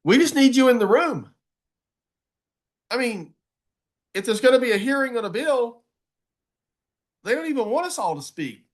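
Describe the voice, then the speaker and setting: A man's voice: extremely high pitch, animated, moderate pace, long pauses. A man giving a motivational advocacy talk, emphatic and folksy, often repeating phrases for weight. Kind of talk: motivational presentation to a group.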